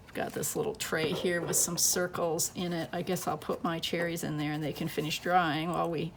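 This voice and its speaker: A woman with a lowish voice and a gravelly, fry-edged tone talks through a scrapbook page at her crafting table. She is losing her voice and chats in a loose, thinking-aloud way as she works. A faint hiss sits underneath. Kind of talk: casual craft tutorial.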